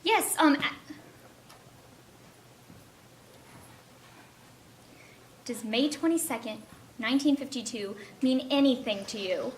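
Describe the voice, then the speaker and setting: Woman acting adoptee lady telling her story onstage, highish voice, roomy noisy recording from far off. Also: animated, moderate pace. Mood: nervous. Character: nervous young adoptee woman searching for her birth mother. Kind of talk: stage play monologue and dialogue. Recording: echoey stage, distant mic, noisy.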